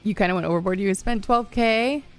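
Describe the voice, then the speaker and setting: A woman's voice: mid-range voice, fairly quick, soft background music. A woman in her thirties streaming a game live, chatting casually with her viewers and laughing often. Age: 30s. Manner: chatty and upbeat, laughs often, lots of "like" and "you know".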